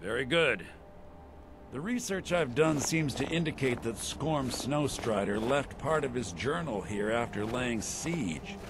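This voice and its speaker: A man's voice: mid-range voice, animated, unhurried, background noise. An actor playing an earnest, commanding Imperial army captain in a fantasy game, speaking formally as he explains his mission. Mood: businesslike. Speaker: game character. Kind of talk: scripted fantasy game dialogue.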